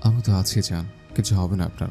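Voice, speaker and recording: Casting: devoted young husband, deep dark male voice, man acting, anxious and protective, romantic audio story with faint music. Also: steady tone, a little fast, vocal fry. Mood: reassuring.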